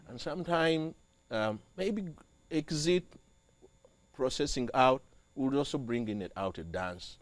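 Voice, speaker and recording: A young man from Uganda, a church music director, speaking as an interview guest with halting, repeated phrases. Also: medium pitch, no room sound. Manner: halting, repeats and restarts phrases, grows animated on liturgy. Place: TV studio.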